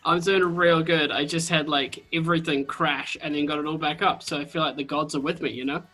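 A man with a New Zealand accent hosting a podcast interview over a video call, chatty and excitable, swearing casually. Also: on the high side, fairly quick, faint music behind, poor audio. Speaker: podcast host.

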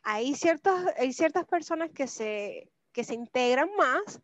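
A Venezuelan woman with a high voice throws in playful, teasing remarks in casual chat. The recording is dry and a little dull, with little room sound. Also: lively intonation, dry recording. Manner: teasing, quick jokes.

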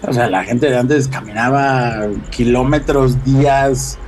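A man's voice: medium pitch, fairly even intonation, takes it slowly, over a noisy crowd, rough recording. A man with a Mexican accent in casual podcast chat, joking and slangy.

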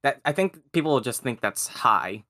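A young man whose first language is Cantonese, chatting casually and hesitantly, often pausing to search for words. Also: medium pitch, expressive, bright, forceful tone, dry recording, clean studio sound. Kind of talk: casual chat.

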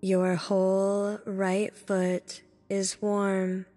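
A woman narrating a guided meditation in a soothing, deliberate manner, speaking in short instructive phrases. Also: mid-range voice, completely flat delivery, warm tone. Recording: long reverb with background music. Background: music.

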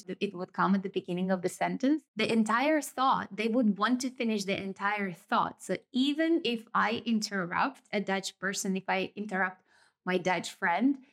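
A woman's voice: medium pitch, fairly bright tone. Thoughtful Russian-native woman podcasting. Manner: thoughtful, explanatory, stretches and rephrases phrases. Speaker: podcast host. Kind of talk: reflective solo podcast monologue.

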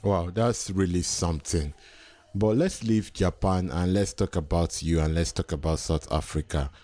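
A man from Nigeria hosting an interview, asking long, curious open questions in a relaxed conversational way. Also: sits low, normal speed, dry recording. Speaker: host.

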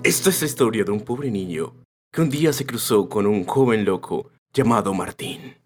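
A man with an Argentine accent, founder of a language academy, telling stories in a relaxed, joking way. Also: lowish voice, normal speed, over loud music. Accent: Argentine Spanish. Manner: laid-back, storytelling, joking. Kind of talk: casual group chat.

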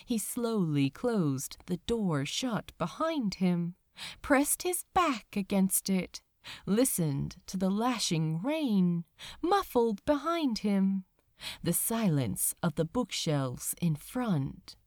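A woman reading a story aloud as dramatic, measured, sorrowful narration. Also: mid-range voice, very animated, a little mellow, dry recording, studio quality. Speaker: fan fiction reader. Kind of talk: expressive scripted narration of a story.

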